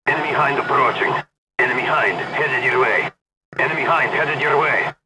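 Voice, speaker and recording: Male actor as Russian military game announcer, stern, clipped, commanding, mid-pitched, very noisy background. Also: normal speed, lightly acted. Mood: terse, urgent. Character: stern Soviet special forces commander, urgent and commanding.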